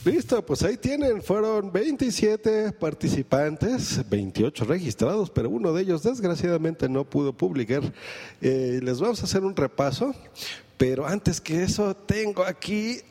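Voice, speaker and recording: A man's voice: high-pitched, big pitch swings, normal speed, dark tone, slightly rough. A man hosting a podcast and chatting casually with a guest. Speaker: podcast host. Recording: long echoing reverb.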